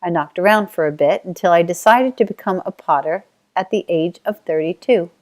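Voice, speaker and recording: Middle-aged Australian woman, low-ish voice, steady reflective memoir read. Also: brisk. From Australia (Tasmania). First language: Auslan (sign language). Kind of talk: reading a personal life story.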